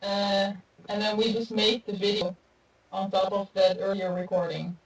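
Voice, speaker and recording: A woman's voice: medium pitch, flat delivery, moderate pace, echoey room, rough recording. A woman, a musician guest, answering briefly and matter-of-factly from a balcony. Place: balcony outdoors.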